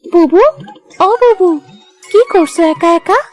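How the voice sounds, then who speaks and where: A woman's voice: extremely high pitch, slow, darkish tone, soft background music. A woman voicing a sweet young daughter-in-law in a village cartoon, agreeable and deferential in manner. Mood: sweet, curious. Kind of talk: Bengali moral-story cartoon dubbing.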